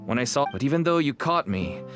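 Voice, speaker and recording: Male actor as shady middle-aged game merchant, lively swooping intonation, smooth-talking, evasive, placating, music underneath. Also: mid-range voice, brisk, close to an everyday voice. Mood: earnest warning. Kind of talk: video game acting.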